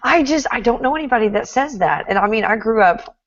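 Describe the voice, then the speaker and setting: A woman's voice: fairly high pitch, animated, fast talker, no room sound, muffled recording. A middle-aged woman from Texas with a Texan accent, hosting a casual interview, chatty and often laughing. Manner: chatty, laughs often, reads viewer comments aloud. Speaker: host.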